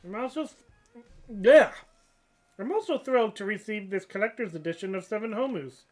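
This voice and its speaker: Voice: medium pitch, animated, moderate pace. A channel host with a stuffed-up, nasal voice, reading game dialogue aloud in a casual read-through, often tripping over words and correcting themselves. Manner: reads unevenly with frequent stumbles and self-corrections. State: stuffed-up nose.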